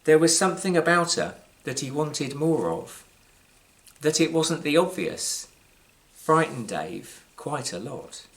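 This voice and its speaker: A British man reads his own short story aloud in a measured, wry way, with deliberate pauses and varied emphasis. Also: fairly high pitch, very animated, low-quality recording. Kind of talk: scripted literary storytelling, live reading.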